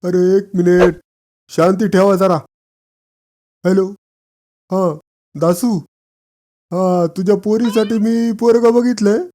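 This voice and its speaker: An actor playing a cocky village friend in a comedy cartoon, brash, bragging and teasing. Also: male voice, sits high, steady tone, takes it slowly, pauses often, dark tone. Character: cocky, boastful village friend who plays the fixer. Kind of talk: exaggerated comedy cartoon dialogue.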